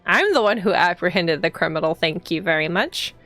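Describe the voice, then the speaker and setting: A woman's voice: fairly low pitch, lively intonation, fairly quick, fairly bright tone, soft background music. A woman reading the part of a proud, dutiful young policewoman in a visual novel, earnest and easily indignant.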